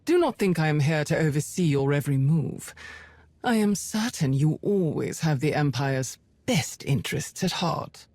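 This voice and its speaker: A composed, menacing spymaster in a video game. She speaks formally and with measured authority in a very dry studio sound, every phrase a quiet threat. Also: low-pitched, lively intonation, dry recording. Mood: firm, measured. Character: cold, commanding Imperial spymaster, composed and menacing.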